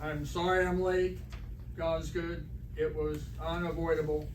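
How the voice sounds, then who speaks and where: A man's voice: fairly high pitch, flat delivery, a little slow, close to an everyday voice. A man playing a formal, easily offended education official in an old-time radio comedy performed on stage. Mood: courteous. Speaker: amateur stage actor. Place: live stage performance in a hall. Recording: echoey hall, distant mic, faint noise.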